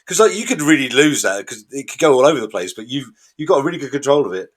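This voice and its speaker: Bloke co-hosting a podcast, jokey, voice goes up and down a lot. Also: male voice, medium pitch, fast talker, husky. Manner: lively, jokey, complimentary. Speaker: podcast co-host. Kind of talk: casual chat.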